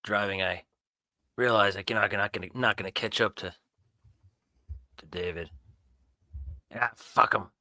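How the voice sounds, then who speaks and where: A man's voice: fairly low pitch, steady tone, unhurried, long pauses, bright, forceful tone, dry recording. A man narrating his character's actions in the first person during a tabletop roleplay game.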